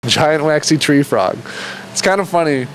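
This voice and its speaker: A man's voice: fairly high pitch, lively intonation, normal speed, fairly bright tone, slight background noise. A man speaking as a zoo keeper, giving a casual, explanatory educational presentation. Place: zoo exhibit area.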